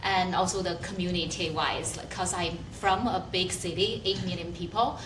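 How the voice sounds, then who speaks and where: A woman's voice: fairly low pitch, fairly even intonation, normal speed, far from the mic. Woman, 40s, friendly, reflective, off-mic, echoey room, noisy background. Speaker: staff member, former international student. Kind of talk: casual panel discussion.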